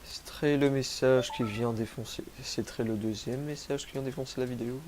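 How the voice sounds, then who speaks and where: A man's voice: mid-range voice, fairly even intonation, normal speed, a little mellow, a little hiss or hum. Young man with a cold, rambling. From France. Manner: self-mocking, rambling, crude slang. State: has a cold, sniffly. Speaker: gaming youtuber. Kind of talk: rambling gameplay commentary. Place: home, rural.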